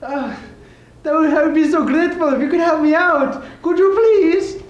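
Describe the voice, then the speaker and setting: A woman's voice: high voice, normal speed, distant mic, dull audio, lightly acted. A woman playing a timid little Irish leprechaun man in a stage comedy sketch, hesitant, polite and stammering. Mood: polite, anxious. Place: live stage before an audience. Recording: echoey stage with background noise.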